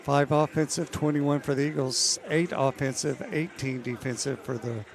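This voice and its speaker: A man calling a women's college basketball game play-by-play, losing his voice and clearing his throat now and then. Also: medium pitch. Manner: steady, measured calls that rise on big plays. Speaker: play-by-play announcer.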